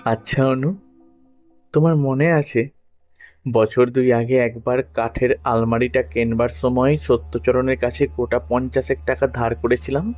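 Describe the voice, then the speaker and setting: A man's voice: medium pitch, fairly even intonation, unhurried, darkish tone, no echo, close to an everyday voice. A man playing a troubled, weary husband who confides hesitantly and reflectively in a dramatised audio story. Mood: reminiscing, troubled. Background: faint music.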